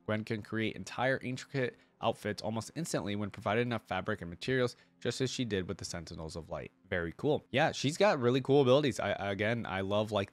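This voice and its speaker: A man hosting a reaction video, reading aloud and commenting informally as he thinks out loud for viewers. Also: fairly low pitch.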